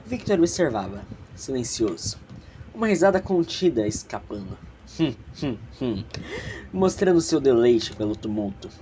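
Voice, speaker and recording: A man's voice: medium pitch, big pitch swings, moderate pace, mellow, slight background noise. A man with a Brazilian accent narrating an audio drama theatrically, with casual, playfully snobbish asides.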